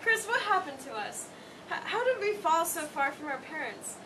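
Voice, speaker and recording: A woman acting guilt-ridden grown daughter, play reading, high voice, far from mic, noise behind. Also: big pitch swings, moderate pace. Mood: grieving, guilty. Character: guilt-ridden eldest daughter, emotional, questioning. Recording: distant mic, noisy room.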